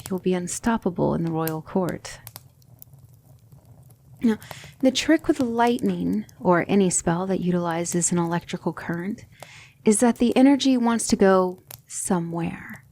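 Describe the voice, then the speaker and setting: A woman playing a patient, exacting demonic magic tutor in an ASMR roleplay, speaking in a measured, formal and gentle way. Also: mid-range voice, gravelly, a little hiss or hum. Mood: probing, amused.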